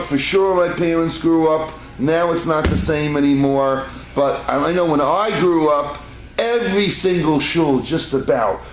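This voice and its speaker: A rabbi teaching a congregation in a chatty storytelling way, piling up repeated words for emphasis. His voice sits a little high for a man. The room is echoey, with a faint hum underneath. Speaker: rabbi giving a class. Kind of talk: informal Torah class to a congregation. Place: synagogue room.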